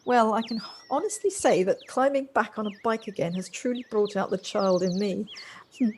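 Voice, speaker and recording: A woman's voice: medium pitch, expressive, moderate pace, natural voice. A woman playing a polite, effusive, wistful character in an amateur audio drama. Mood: exhilarated. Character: Josie, wistful, polite, effusive woman rediscovering childlike freedom. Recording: faint noise, home-recorded on personal device.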